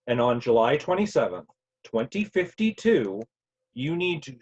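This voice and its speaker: A man playing an earnest, grieving grown son from the future in a table read, with a halting, emotional delivery. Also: mid-range voice, a little mellow. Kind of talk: virtual table read of a play. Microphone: video call. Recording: dull, lo-fi online call audio.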